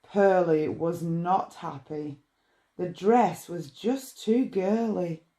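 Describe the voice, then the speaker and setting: A woman reading a rhyming bedtime story in a measured, expressive way. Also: fairly low pitch. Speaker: storybook reader.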